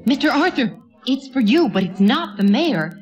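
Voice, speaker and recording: An actress playing a sweet, bashful young secretary in an old-time radio drama. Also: female voice, on the high side, big pitch swings, normal speed, dull audio. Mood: helpful. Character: sweet, bashful 1940s office secretary, smitten with boss. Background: music.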